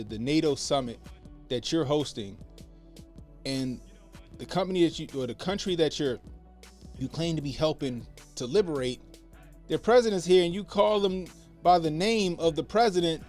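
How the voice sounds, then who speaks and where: A man hosting a casual political commentary livestream, talking loosely with slang and repeating phrases for emphasis, in what he calls a monotone voice. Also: fairly high pitch, moderate pace, long pauses. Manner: self-described monotone voice. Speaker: livestream host. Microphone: podcast mixer setup. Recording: faint background music under the voice.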